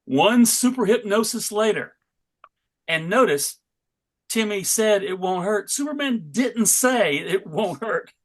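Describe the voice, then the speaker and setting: A man's voice: sits high, animated, takes it slowly. Male host-narrator for a comic table read: theatrical storytelling, wry asides, tongue in cheek.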